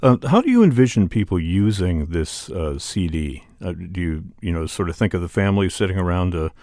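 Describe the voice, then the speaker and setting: Low-voiced male radio interviewer. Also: sing-song, fairly quick, warm tone, dry recording, clean studio sound.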